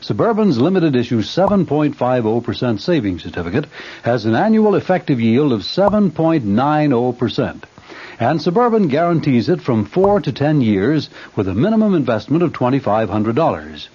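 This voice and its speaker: A man's voice: mid-range voice, big pitch swings, moderate pace, warm tone, breathy edge. A man reading a scripted vintage radio commercial as an announcer. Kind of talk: scripted vintage bank advertisement read. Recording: muffled old radio broadcast.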